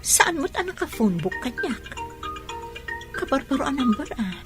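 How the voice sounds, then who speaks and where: A woman's voice: high voice, very animated, unhurried, music in the background. A woman playing an earnest, defiant young wife protesting her innocence in a radio drama, with a Filipino accent. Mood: anxious, protesting.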